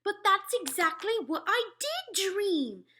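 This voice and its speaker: Woman voicing a high-pitched, imperious storybook queen. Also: big pitch swings, takes it slowly, slight background noise, full character voice. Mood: sharp, excited. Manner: exclaiming, sharp commands mixed with hushed murmurs. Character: queen, poised, imperious, astonished older royal lady. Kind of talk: storybook read-aloud.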